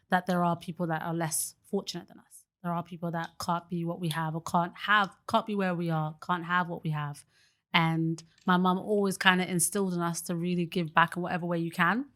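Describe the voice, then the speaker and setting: Earnest, chatty woman, lowish voice. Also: fairly bright tone. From Britain. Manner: chatty and earnest, many fillers like "like" and "you know"; laughs easily. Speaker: guest, early childhood educator. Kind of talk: casual podcast conversation.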